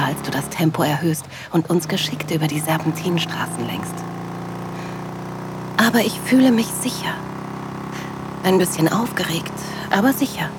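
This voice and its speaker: A woman playing a loving, sensual middle-aged wife, narrating an intimate audio drama in a soft, dreamy manner. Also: low-pitched, background noise, natural voice. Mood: tender, excited.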